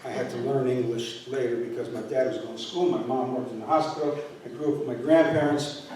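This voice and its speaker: An older man from northeastern Pennsylvania, Polish his first language, speaks to an audience in an earnest, halting way, full of fillers and restarts. His voice sits at a middle pitch. It comes from some distance away, in a hall with heavy echo and a faint hiss behind it. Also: steady tone, darkish tone. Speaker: university president candidate. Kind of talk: public talk to an audience. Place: university hall. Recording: echoey room, from far off.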